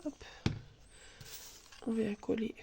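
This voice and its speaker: A woman's voice: slow pace, warm tone. A woman with a stuffy nose from a cold, hosting a casual crafts tutorial from her home table and thinking aloud as she works. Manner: chatty, thinks aloud while working, filler words. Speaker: crafts channel host. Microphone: camera filming from above.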